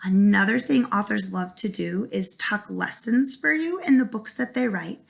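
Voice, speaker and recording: A woman teacher reading a children's story aloud expressively, with dramatic pauses for young listeners. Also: medium pitch, creaky. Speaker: first grade teacher.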